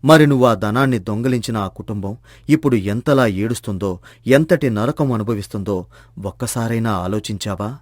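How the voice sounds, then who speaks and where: A man playing a calm hermit sage in a moral storybook tale, soft-spoken and measured as he gently admonishes. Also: on the low side, dry recording, studio quality, lightly acted. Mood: gentle reproach.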